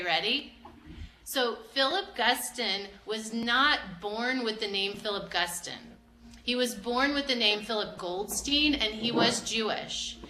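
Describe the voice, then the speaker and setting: A woman's voice: fairly high pitch, pushed and bright. A woman working as an educator, leading an interactive art discussion with children and encouraging them with questions. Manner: encouraging, prompting with questions, repeats answers back. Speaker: museum educator teaching children. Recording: roomy echo, light background noise.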